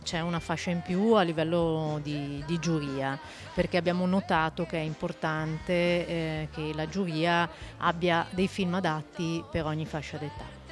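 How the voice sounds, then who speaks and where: A woman who directs a film festival, giving interview answers in hesitant, halting phrases. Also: deep voice, fairly even intonation. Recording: music playing behind the voice.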